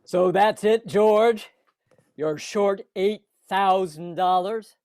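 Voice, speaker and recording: A man playing a cruel, contemptuous old rich businessman in a radio play, speaking slowly and deliberately. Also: high voice, a little mellow, dry recording. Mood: gloating, scornful. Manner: contemptuous, gloating, slow and deliberate. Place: home recording over video call.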